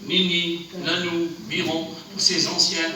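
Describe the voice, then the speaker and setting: A man's voice: fairly high pitch, completely flat delivery, a little slow, sharp tone. A man preaching a sermon in church, who has a cold and a runny nose, exhorting earnestly in short phrases. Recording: very echoey church, from far off, noisy.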